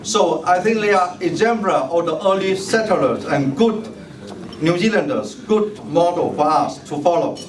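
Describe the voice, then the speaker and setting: Middle-aged Chinese-native man, formal ceremonial speech, slightly high voice, distant mic in a roomy hall with noise. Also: lively intonation, normal speed, crowd noise. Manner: formal, ceremonial, thanks many guests by name. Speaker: mayoral candidate giving a speech. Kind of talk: bilingual public speech, switching English and Chinese. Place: large hall at a tea party event. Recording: echoey hall, distant mic, noisy ambience.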